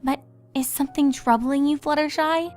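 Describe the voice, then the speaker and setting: Woman acting as young unicorn mare, cartoon, high pitch, studious, reasonable, music underneath. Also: steady tone, moderate pace, darkish tone, close to an everyday voice. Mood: reassuring. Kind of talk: fan fiction voice acting.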